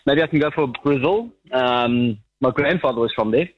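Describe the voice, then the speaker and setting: A man's voice: medium pitch, normal speed, no room sound. South African man calling in, muffled line. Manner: rambling, many restarts and fillers. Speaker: caller. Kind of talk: casual phone-in chat. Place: outdoors walking a dog.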